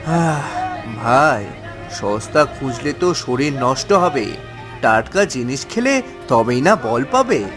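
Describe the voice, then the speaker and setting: A man's voice: medium pitch, sing-song, slow pace, over music. A man voicing a poor, honest village fisherman in a cartoon, pleading in an earnest, plaintive way. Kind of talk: cartoon story acting.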